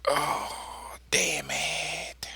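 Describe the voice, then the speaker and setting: Gravelly, sharp-edged man at a game table. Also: low voice, very animated, extremely slow, in a whisper. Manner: sweary. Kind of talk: casual table talk.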